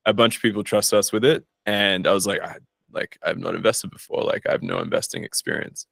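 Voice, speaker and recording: Man, gravelly low voice, informal guest chat, self-deprecating, dry studio sound. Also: a little fast, fairly bright tone, no echo. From Australia. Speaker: guest, startup founder and investor. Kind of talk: casual interview chat.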